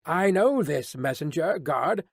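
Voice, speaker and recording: A man voicing a mild, polite gentleman passenger in an audiobook, with a slightly quavering, anxious voice. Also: a little high, lively intonation, unhurried, husky, no echo, clean studio sound, heavily acted. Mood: reassuring. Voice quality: mildly quavering. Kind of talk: dramatised audiobook reading.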